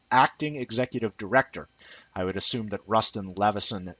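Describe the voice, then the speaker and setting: Slightly husky man reading aloud. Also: on the low side, bright, forceful tone, dry recording, low-quality recording. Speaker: amateur reader of an essay. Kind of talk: scripted essay read aloud as narration.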